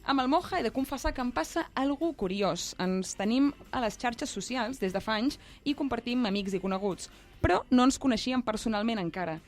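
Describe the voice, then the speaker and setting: A woman's voice: a little high, expressive, brisk. A woman with a Catalan accent co-hosting a talk show, moving between prepared introductions and casual banter. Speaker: co-host.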